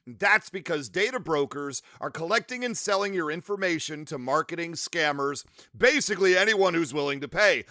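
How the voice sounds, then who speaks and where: A man's voice: high voice, pushed and bright, dry recording, clean studio sound. A man giving a scripted podcast advertisement read in an emphatic, persuasive manner. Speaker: ad reader.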